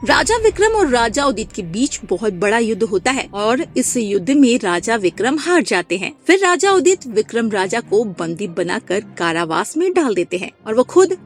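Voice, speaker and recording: A woman narrating a scripted cartoon folk tale as a storyteller. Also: on the high side.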